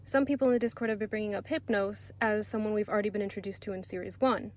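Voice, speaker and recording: A woman's voice: medium pitch, steady tone, quick pace. A woman giving prepared, articulate commentary as a listener's recorded submission to a podcast.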